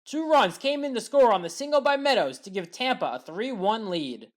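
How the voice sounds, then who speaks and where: A woman giving scripted play-by-play recap narration as a sports highlights commentator. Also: lively intonation, normal speed.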